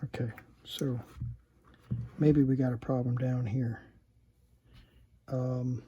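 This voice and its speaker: A man giving casual, unscripted repair commentary at a workbench, halting and muttering to himself as he works. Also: mid-range voice, flat delivery, mellow, breathy edge, muffled recording. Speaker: host demonstrating electronics repair.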